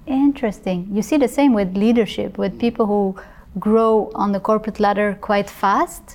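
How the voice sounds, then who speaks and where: Female interview host from Europe; mid-pitched, engaged, conversational questioning. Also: steady tone, moderate pace, a little mellow, slight background noise. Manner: engaged, asks follow-up questions.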